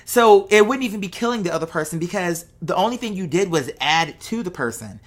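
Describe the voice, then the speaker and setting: A woman with a fairly low voice talks off the cuff as a show host. She muses conversationally, posing rhetorical questions and checking that the listener follows along. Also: animated, fairly quick. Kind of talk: casual unscripted monologue to followers.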